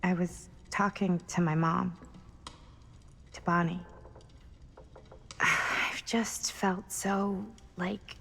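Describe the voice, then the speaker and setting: Husky, grieving young American woman. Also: fairly low pitch, fairly bright tone, heavily acted. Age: young adult. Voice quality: husky, breathy, creaky. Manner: hesitant, halting speech. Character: grieving, searching young woman who misses her mother. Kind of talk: video game cutscene acting.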